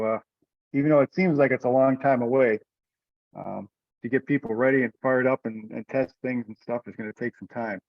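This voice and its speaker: A man's dull, lo-fi voice with a middling pitch, chairing a conference call. He talks conversationally and rambles a little, with hesitations as he goes. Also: no echo. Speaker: meeting chair. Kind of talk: conversational teleconference talk. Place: remote group conference call.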